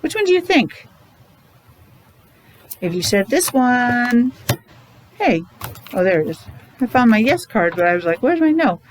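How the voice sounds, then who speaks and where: A woman's voice: fairly high pitch, big pitch swings, unhurried, long pauses, a little hiss or hum, rough recording. A middle-aged woman teaching young children in an interactive morning lesson, using simple repeated phrases, questions and sounded-out letters. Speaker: preschool or special education teacher leading morning meeting.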